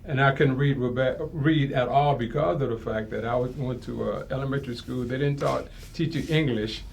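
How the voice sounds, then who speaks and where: Creaky-voiced male guest with background noise. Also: mid-range voice, normal speed. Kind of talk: personal motivational testimony.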